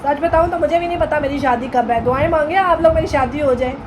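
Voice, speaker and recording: A woman's voice: high-pitched, fast talker, noisy background. A woman in her twenties with a Pakistani accent, chatting casually in a vlog and mixing in English phrases and playful Punjabi. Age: 20s. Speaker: vlogger.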